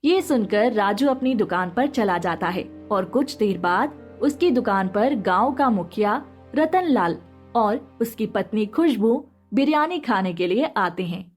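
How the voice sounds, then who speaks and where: A woman's voice: medium pitch, lively intonation, unhurried. Woman narrating a story over music. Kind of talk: scripted narration of a moral story. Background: background music.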